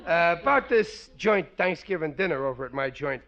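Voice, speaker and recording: Blustering high-voiced working stiff on old radio. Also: male voice, lively intonation, normal speed, a crowd in the background. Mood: sheepish, scheming. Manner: ungrammatical, blustering. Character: blundering, scheming working-class family man, excitable. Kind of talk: 1940s radio sitcom acting. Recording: noisy muffled 1940s radio recording.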